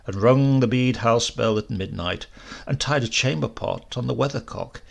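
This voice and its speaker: A man reading a comic tale aloud as its narrator, in a lively, wry and expressive way. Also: mid-range voice, normal speed, vocal fry. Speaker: reader, narrator. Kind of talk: storytelling audiobook narration.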